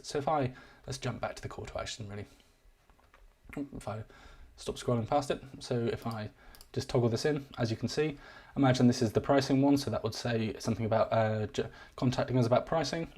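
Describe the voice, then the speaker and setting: A man giving a live-streamed software walkthrough, rambling and self-deprecating, his voice wearing thin. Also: mid-range voice, quick pace, breathy edge. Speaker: software demo presenter.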